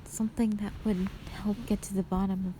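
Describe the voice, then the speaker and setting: A woman's voice: medium pitch, level tone, brisk, warm tone, a little hiss or hum, natural voice. A woman playing a fragile, remorseful young hospital patient in an audio roleplay, speaking hesitantly and apologetically. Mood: subdued, remorseful. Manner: hesitant, apologetic, sighing between short phrases. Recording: piano music behind the voice.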